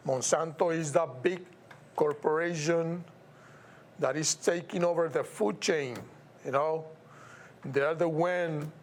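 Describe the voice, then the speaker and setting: Slow, deliberate Puerto Rican man. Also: fairly high pitch, a little hiss or hum. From Puerto Rico. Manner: measured, emphatic, repeats words and stumbles over some. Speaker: show host. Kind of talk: slow, deliberate solo commentary.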